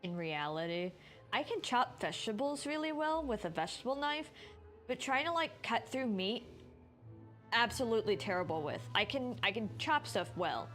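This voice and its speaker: Female game streamer: fairly high voice, casual commentary, faint music behind. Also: lively intonation, moderate pace, fairly bright tone. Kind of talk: casual playthrough commentary, reading game text aloud.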